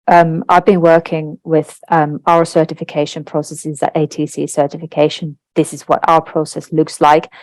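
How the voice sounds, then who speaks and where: A woman's voice: low-pitched, a little mellow, no room sound. A woman whose first language is Finnish, giving a webinar presentation in an explanatory way, with fillers and tag questions. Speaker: webinar presenter on translation standards.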